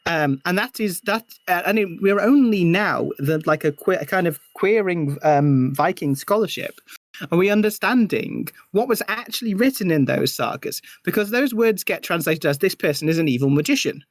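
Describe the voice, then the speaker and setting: A woman in casual discussion, speaking haltingly and searching for words as they explain things. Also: lowish voice, animated, no room sound. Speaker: podcast guest.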